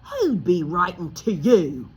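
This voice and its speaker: A woman's voice: lowish voice, sing-song, slow pace, a little mellow, husky, exaggerated voice. A woman voicing a blustering, hot-tempered middle-aged uncle who stammers and barks in a storybook reading. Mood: angry, shouting. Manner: stammering, barking orders.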